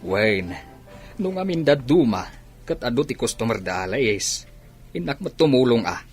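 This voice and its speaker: Mid-pitched, nosy village father played by a man. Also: big pitch swings, normal speed, darkish tone, soft background music, natural voice. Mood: matter-of-fact. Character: gossip-curious, middle-aged village father running a business. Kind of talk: Ilocano radio comedy-drama acting.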